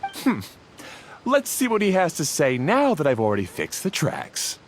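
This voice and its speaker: A man voicing a proud, touchy mechanic in an animated video game, with a theatrical, self-satisfied manner. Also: on the high side, a little slow, a little hiss or hum. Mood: grumbling. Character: proud, touchy male mechanic, eager for credit.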